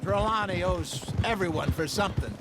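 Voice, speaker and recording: Old outlaw, very noisy background. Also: male voice, high-pitched, normal speed. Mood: easygoing. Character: genial, dry-witted old male outlaw. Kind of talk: naturalistic video game acting. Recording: very noisy outdoor game ambience, horse hooves.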